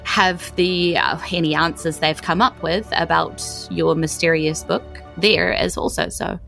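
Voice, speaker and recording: A woman plays a stately queen of an undersea realm in a tabletop game. She sounds grand and motherly, yet flustered and halting, with music behind her. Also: sits low, lively intonation, vocal fry, a bit bright, full character voice. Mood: gracious, obliging.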